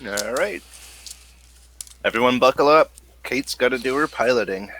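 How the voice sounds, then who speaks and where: A man acting as game master, narrating a tabletop game casually with hesitant pauses as he describes scenes. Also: medium pitch, pushed and bright, slight background noise.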